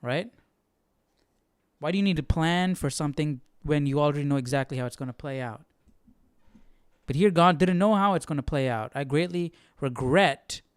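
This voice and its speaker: Guy on a chill study stream, slightly high smooth voice, keeps questioning stuff out loud, dry mic. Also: moderate pace, pauses often, no room sound. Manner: questioning, thinking aloud. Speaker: host of a Bible study stream. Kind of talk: casual live commentary, reading aloud.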